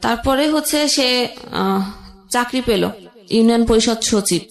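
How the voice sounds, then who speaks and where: A young woman tells her own painful story in a halting way, pausing and starting her sentences over. Her voice sits fairly high. The room around her is echoey. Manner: halting, with pauses and restarts. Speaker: guest telling her life story. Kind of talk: emotional personal storytelling.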